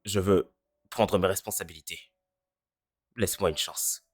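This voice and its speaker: A performer voicing a cynical, self-deprecating teenage boy in a French fan dub who speaks in a deadpan way. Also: sits low, a little slow, no echo, clean studio sound. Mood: earnest. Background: faint music.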